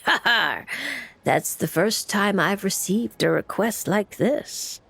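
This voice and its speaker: A woman voicing a composed, gently teasing fortune teller in a video game, with a poised, musing manner. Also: mid-range voice, expressive, slow, vocal fry, slight background noise, heavily acted. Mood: amused, polite. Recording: music bed under the voice.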